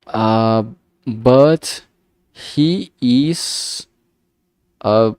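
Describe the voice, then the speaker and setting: A man's voice: mid-range voice, very slow, a little mellow, clean tone, dry recording, clean studio sound. A man from Brazil with a thick Brazilian Portuguese accent, rambling hesitantly with many fillers as he thinks aloud on a stream. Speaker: game developer streamer.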